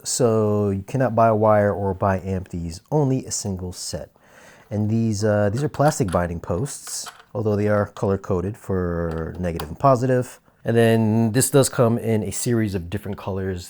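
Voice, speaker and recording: Man, lowish pitch, casual video reviewer, conversational, explanatory, informal product walkthrough. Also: darkish tone. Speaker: audio gear reviewer.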